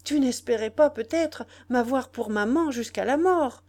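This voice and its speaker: Woman acting brash, scornful young woman, high-pitched. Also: a little slow, darkish tone, close to an everyday voice. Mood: angry, impatient. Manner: exclamatory, scolding, slangy. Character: brash, impatient, scornful young kept woman, mocking and quick-tempered. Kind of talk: dramatized audiobook dialogue.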